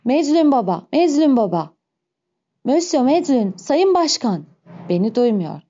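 A woman's voice: medium pitch, expressive, moderate pace, mellow, lo-fi sound, close to an everyday voice. A woman voicing a shrewd old male gardener who schemes, then breaks into anguished self-talk with sudden raised cries.

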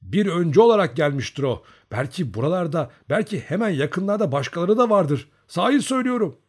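A man voicing a gruff, bossy, excitable Cossack comrade in an audiobook story, scolding and insisting. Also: fairly high pitch, fairly quick, voice pushed up. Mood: grumbling, insistent.

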